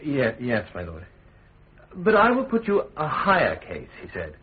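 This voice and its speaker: An actor playing a nervous, evasive witness giving hesitant, subdued testimony in a radio drama. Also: male voice, mid-range voice, huge pitch swings, measured pace, narrow, tinny audio. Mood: nervous, reciting. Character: uneasy, evasive male witness, ambitious perjurer.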